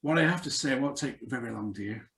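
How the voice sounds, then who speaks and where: An actor playing a suave, sneering blackmailer with mocking, drawn-out courtesy in a live radio drama reading. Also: male voice, mid-range voice, expressive, fairly bright tone, echoey room. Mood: smug, menacing.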